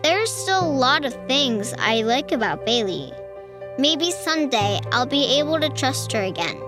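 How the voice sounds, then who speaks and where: A woman reading a children's storybook aloud in a deliberate storytelling manner. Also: sits high, sing-song, over music.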